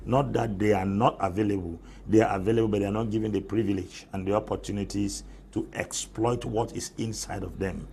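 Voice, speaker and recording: A man's voice: on the low side, creaky, a little hiss or hum. A man speaking as a panelist on a TV debate, emphatic, with rhetorical questions and long lists. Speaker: panelist, apostle.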